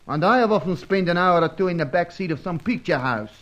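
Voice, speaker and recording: Shrewd Brazilian manservant, lightish voice. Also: male voice, sits high, lively intonation, fast, a bit bright. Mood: deferential, suspicious. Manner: formal, careful, foreign-sounding phrasing. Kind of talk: old-time radio serial acting. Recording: dull vintage radio recording.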